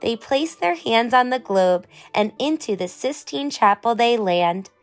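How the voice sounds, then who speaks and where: Female storybook narrator, slow, expressive, slightly high register, music underneath. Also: smooth. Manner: expressive, performing for young children. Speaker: children's book read-aloud narrator. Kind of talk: animated storybook narration in rhyme.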